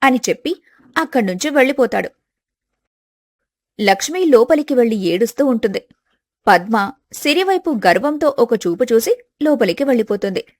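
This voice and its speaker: A woman's voice: fairly high pitch, big pitch swings, moderate pace, long pauses, dry recording. A woman reading scripted dramatic narration as a storyteller.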